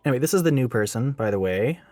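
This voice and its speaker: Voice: mid-range voice, expressive, fast, a little mellow, no echo, lightly acted. Performer doing a bossy flirty scheming store coworker, sarcastic, really swoopy voice, close dry mic w music behind. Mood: mocking. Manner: sarcastic, teasing, swinging from barking to cooing. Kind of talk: acted audio roleplay monologue to listener.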